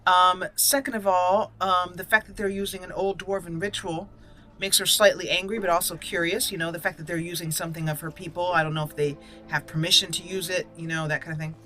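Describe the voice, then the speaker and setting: A woman's voice: lowish voice, steady tone, fairly quick, bright, forceful tone, low-quality recording. A woman hosting a roleplay let's play, commenting on the game and her character. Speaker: gaming video host, roleplayer.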